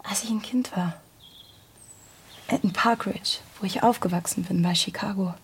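An actress playing a dreamy woman in a radio drama, recalling memories in an intimate, breathy whisper. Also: medium pitch, normal speed, slight background noise, lightly acted. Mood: dreamy, wistful. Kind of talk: modern radio drama acting.

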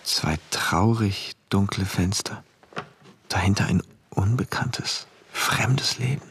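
An actor playing a rigid, troubled man from a regimented future, narrating a literary radio play in a deliberate, brooding, precise way. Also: low voice, a bit bright, breathy edge, in a whisper, exaggerated voice. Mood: brooding, tense. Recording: music behind the voice.

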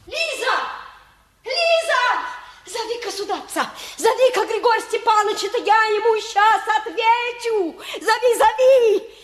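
An actress playing an excitable, doting older village mother in a Soviet radio play, folksy and exclamatory. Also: very high voice. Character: Olga Petrovna, excitable, doting village mother soon a grandmother. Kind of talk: Soviet radio theatre acting. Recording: long reverberant hall, archival stage play.

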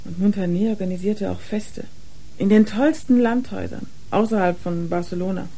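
A woman's voice: mid-range voice, fairly even intonation, normal speed, mellow, lightly acted, low-quality recording. A woman acting a worn, defiant young drug user who confides in a German radio crime drama. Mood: frank, remembering.

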